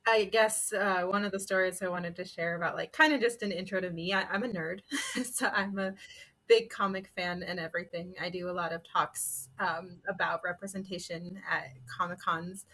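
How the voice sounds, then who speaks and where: A woman's voice: fairly low pitch, fairly even intonation, normal speed, bright, forceful tone. A woman panelist telling a casual anecdote about herself in an informal, self-deprecating way. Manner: self-deprecating, chuckles while talking.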